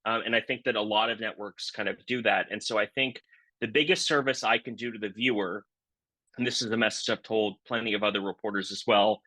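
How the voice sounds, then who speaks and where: A man's voice: fairly low pitch, pushed and bright, dry recording. A man talking reflectively and earnestly as a political reporter on a webinar panel.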